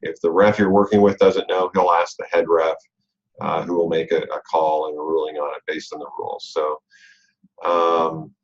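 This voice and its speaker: Low-voiced American man, dull call audio. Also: level tone, moderate pace. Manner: conversational, explanatory, talks through rules step by step. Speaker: robotics coach leading a coaches conference call. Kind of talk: informal instructional explanation.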